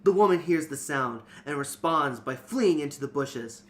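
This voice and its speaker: A man's voice: fairly high pitch, animated, moderate pace. A man reading a fantasy novel aloud as narrator, in an informal scripted storytelling style. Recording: noticeable background noise.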